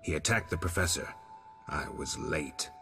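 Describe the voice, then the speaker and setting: An actor playing a stoic, world-weary monster hunter in a video game, speaking in a terse, low growl. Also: male voice, moderate pace, gravelly, music in the background. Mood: grim. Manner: terse, flat, understated.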